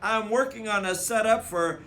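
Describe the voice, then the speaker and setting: A man's voice: sits high, normal speed, pushed and bright, slight background noise, voice pushed up. A man voicing a stubborn young brother bear cub in a storybook, insistent and indignant. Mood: indignant insisting. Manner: insistent, argumentative.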